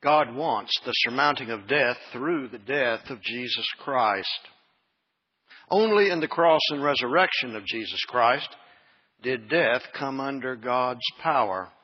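Man, very slow husky delivery, solemn declaimed recitation, muffled and heavily reverberant hall sound. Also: medium pitch, animated.

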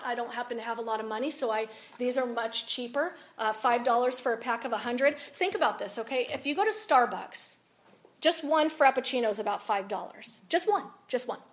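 A woman with a raspy voice giving an animated, confiding storytelling talk to a women's audience. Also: a little high. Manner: animated, confiding, rises and drops a lot. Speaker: speaker at a women's event.

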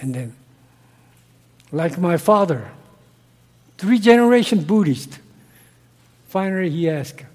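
A man's voice: high voice, big-room sound. A man with a Korean accent and broken English, a missionary answering interview questions with personal stories. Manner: broken English, slow and earnest, gets loud when animated. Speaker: missionary guest.

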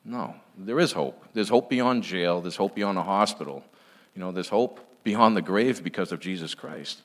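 A man's voice: sits low, normal speed. A man speaking earnestly at a church, giving an informal testimony with emphatic repetition.

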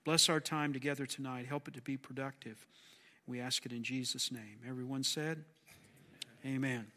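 A man with a slightly hoarse voice leading a church class, praying aloud and then chatting casually with the group. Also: mid-range voice, fairly even intonation, moderate pace, sharp tone. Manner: earnest, folksy, jokes with the class. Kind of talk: spoken prayer and casual class talk.

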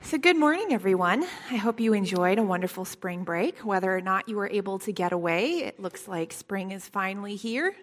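A soft-spoken woman leading a Bible study lesson, with a prayer and a scripture reading, speaking gently and with frequent ums. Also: medium pitch, animated, brisk, few pauses. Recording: long hall reverb.

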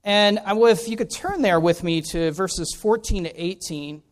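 A man's voice: sits high, moderate pace. A middle-aged man with an American accent, speaking informally and with self-deprecation as a guest preacher introducing himself and his sermon to a congregation. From Maryland, United States.

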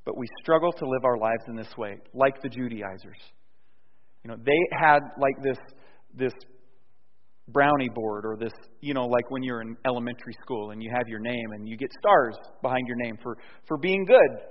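A man preaching a sermon in a conversational way, dropping soft and low and then rising loud and emphatic. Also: moderate pace. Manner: conversational, with restarts and fillers. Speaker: pastor. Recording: dull sound with long hall reverb.

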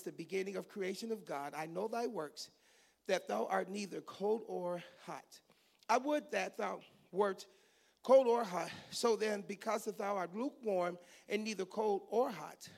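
Raspy, gravelly preacher man in his fifties. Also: high voice. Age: 50s. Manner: goofy, joking asides between scripture reading and prayer. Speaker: pastor. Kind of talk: teaching sermon.

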